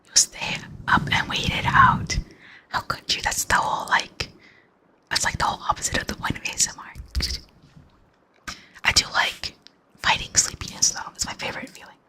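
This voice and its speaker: A young adult ASMR streamer chatting with viewers in a rambling, close-mic whisper, full of fillers and soft little laughs. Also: moderate pace, pauses often. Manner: laughs softly between thoughts. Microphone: close mic, near enough to blow into.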